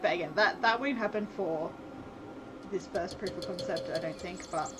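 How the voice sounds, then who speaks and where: A woman streamer and game developer chatting casually on a livestream, thinking aloud in a rambling way with soft laughs. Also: lowish voice, normal speed. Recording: loud background noise, vehicle sounds.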